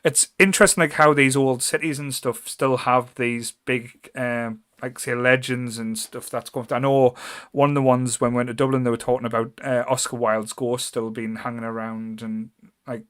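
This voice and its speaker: A man's voice: mid-range voice, little pitch movement, moderate pace, a bit bright. A man hosting a podcast in casual, rambling conversation. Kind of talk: casual chat.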